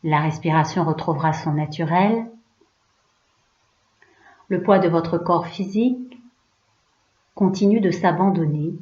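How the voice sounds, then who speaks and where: A woman yoga teacher leading a guided relaxation in a hushed, soothing, unhurried way, leaving pauses between short phrases. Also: lowish voice. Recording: roomy, slightly dull recording.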